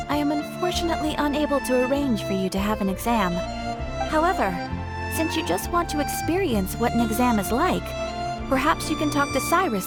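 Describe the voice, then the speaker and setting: High-voiced formal guild receptionist. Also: female voice. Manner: polite, formal, helpful. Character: courteous, formal adventurers' guild receptionist woman. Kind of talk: scripted video game character acting.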